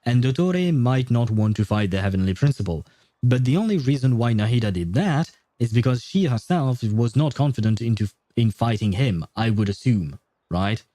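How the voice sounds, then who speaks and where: A man's voice: mid-range voice, lively intonation, moderate pace, warm tone, dry recording. A man livestreaming a video game, chatting casually and rambling in an informal way. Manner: informal, rambling, mild swearing. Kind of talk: casual livestream chat and commentary. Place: indoor streaming setup, dry. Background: faint game music.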